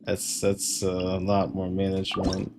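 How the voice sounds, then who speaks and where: A man chatting casually and in a low-key way while livestreaming gameplay. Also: monotone, a little slow, crowd noise. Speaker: game streamer. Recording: music playing behind the voice. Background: game music.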